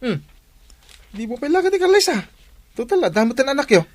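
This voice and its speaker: A man's voice: very high-pitched, very animated, fairly quick, no room sound. A man acting a jovial, teasing friend who urges his pal along in a radio comedy drama. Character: jovial, teasing male friend urging marriage.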